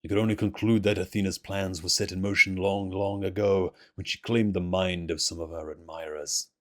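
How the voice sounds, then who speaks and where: Low, grave immortal lord, as a man reads him. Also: steady tone, natural voice. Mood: accusing. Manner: formal, solemn, measured declarations. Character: stern, commanding immortal ancient man, grave and absolute. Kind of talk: dramatic reading of fantasy game dialogue. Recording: music under the voice.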